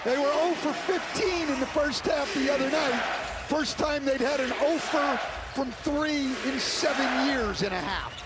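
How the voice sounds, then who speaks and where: A man's voice: very high-pitched, unhurried. A man giving live game analysis as a basketball color commentator. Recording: very loud arena noise behind.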